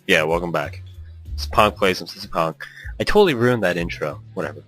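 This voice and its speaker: A man's voice: low-pitched, expressive, fairly quick, fairly bright tone. A man hosting a gaming let's-play in a casual, rambling, self-deprecating chat, reading game text aloud. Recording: music behind the voice. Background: background music.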